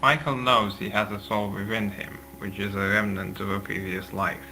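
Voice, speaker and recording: A man's voice: lowish voice, level tone, moderate pace, pushed and bright, low-quality recording. A man narrating scripted story action at a table read, with a faint hum behind him.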